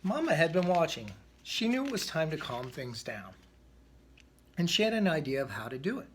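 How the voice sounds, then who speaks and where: A man reading a children's picture book aloud in an expressive way, with the odd stumble. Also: fairly high pitch, moderate pace, a little hiss or hum. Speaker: storybook reader.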